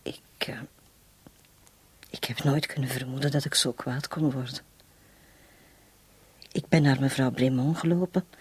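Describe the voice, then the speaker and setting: A very breathy, husky woman in a radio play. She is bitter and resolute after years of suffering. She confides haltingly and with great intensity, often sinking toward a whisper. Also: rumbling low, dry recording, exaggerated voice. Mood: haunted, hushed. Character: long-suffering household woman confessing to murder, bitter yet resolute. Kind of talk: radio drama acting.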